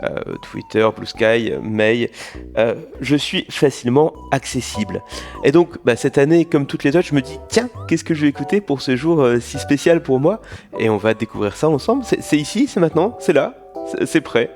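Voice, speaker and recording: A man with a medium-pitched voice narrates in a chatty, informal way. He drifts into asides, with faint music behind him. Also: lively intonation, normal speed, vocal fry, a little mellow. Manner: informal, digressive. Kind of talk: chatty, fourth-wall-breaking narration.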